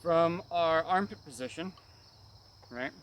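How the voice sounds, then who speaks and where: A man's voice: a little high, slow, fairly bright tone, a little hiss or hum. A man giving hands-on martial arts instruction in short, clipped phrases, often adding brief check-in tags. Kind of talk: hands-on technique demonstration, instructional.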